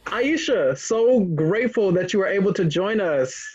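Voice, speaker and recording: Man hosting an interview, rambling and restarting a lot, bit high-voiced, lo-fi muffled sound. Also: animated, measured pace, a little mellow, breathy edge, no room sound. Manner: rambling, hesitant, many restarts. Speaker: interviewer, host. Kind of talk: casual interview. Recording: muffled lo-fi recording.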